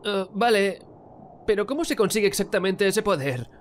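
Man acting sardonic cartoon loser fighter, lively swings. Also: sits high, moderate pace, slight background noise. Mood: bewildered, hesitant. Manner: sarcastic, incredulous. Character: miserable, sardonic young male martial artist, bewildered loser. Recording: music behind the voice.

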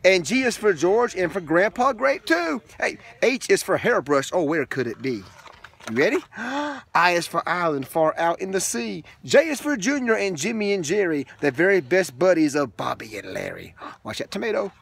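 A man's voice: high-pitched, moderate pace, creaky, slightly rough. A man in his thirties, a father playfully reading a picture book aloud to his young son in the back of a minivan. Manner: playful, animated storytelling with asides to the child.

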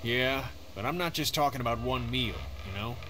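A man's voice: mid-range voice, moderate pace, noisy background, natural voice. An actor playing a stressed, blunt father in a survival video game, weary and insistent. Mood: frustrated, desperate.

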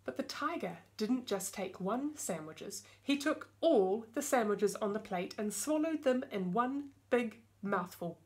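A middle-aged woman, reading a storybook aloud to young children in an expressive way. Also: fairly high pitch, a little slow, few pauses. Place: small indoor room with bookshelves.

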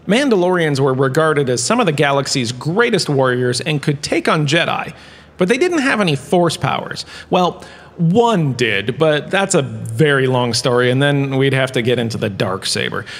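An actor playing a vain, pompous professor of galactic history, giving a showy scripted comic lecture. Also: male voice, on the high side, very animated, normal speed, fairly bright tone, heavily acted. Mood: lecturing. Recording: long hall-like reverb.

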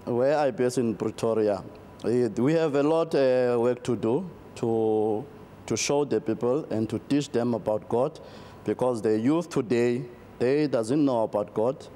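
A middle-aged South African man, a pastor, answering interview questions slowly with many fillers and restarts, recorded in a TV studio on a lapel mic. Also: mid-range voice, dark tone, a little hiss or hum.

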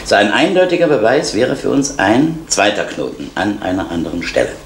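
An actor playing a calm police investigator who explains things patiently in an old East German radio crime drama. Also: male voice, on the low side, a little fast. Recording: echoey, noisy old radio play recording.

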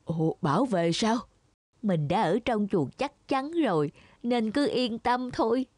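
A woman's voice: a little high, animated, slow, darkish tone. A woman voicing a selfish, scheming cow in a children's cartoon fable, wheedling and theatrical. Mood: smug. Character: scheming, selfish cow with a sweet-talking manner.

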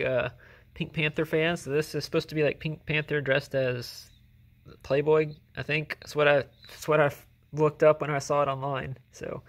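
A man casually talking through items he has sold, relaxed and rambling, often correcting himself, recorded on a handheld phone at home. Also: fairly high pitch. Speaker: reseller vlogger. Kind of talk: casual haul video narration. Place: indoors at home, filming items on floor.

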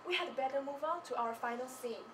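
A woman's voice: high-pitched, fairly quick. A teenage young woman giving a scripted stage presentation as a student, with a theatrical, rehearsed delivery. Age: teens. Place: small presentation stage indoors. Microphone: far camera. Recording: noisy room, distant microphone.

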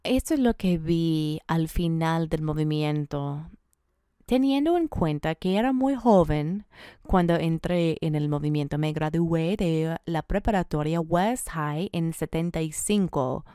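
A woman's voice: sits low, a little slow, warm tone, clean tone, no room sound, clean studio sound. A woman in her sixties from Colorado speaking on a panel, blunt and emphatic, with rising rhetorical questions, into a handheld mic in a conference room. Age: 60s. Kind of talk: public panel talk. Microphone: handheld microphone.

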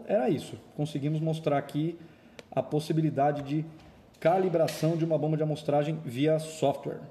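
A man's voice: fairly high pitch, dark tone. A man with a Brazilian accent gives an informal, step-by-step equipment demonstration in an explanatory way. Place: indoor office or lab.

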